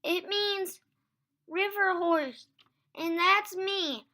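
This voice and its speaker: A woman's voice: very high-pitched, drawn-out words, bright, forceful tone, voice pushed up, exaggerated voice. A woman voicing a tearful, self-pitying big female hippo for a children's storybook. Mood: tearful, distressed. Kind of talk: children's storybook read aloud.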